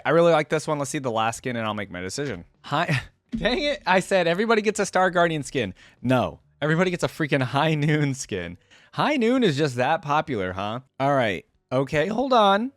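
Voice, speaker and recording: A man's voice: mid-range voice, no room sound. A man hosting a reaction video, talking casually and informally as he thinks aloud and reads aloud. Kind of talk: casual fan reaction commentary, partly reading wiki aloud.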